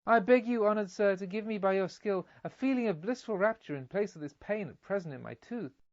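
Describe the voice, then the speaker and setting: A man's voice: sits high, expressive, fairly quick, no room sound, muffled recording, voice pushed up. A man voicing a spoilt, foolish child in a storybook, pleading and wailing in demands for relief.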